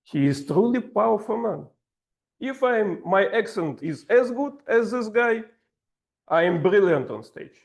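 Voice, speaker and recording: High-voiced man with a heavy Russian accent. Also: expressive, gravelly. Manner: slow, halting non-native English with playful asides. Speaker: table topics master. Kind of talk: hosting a club speaking session.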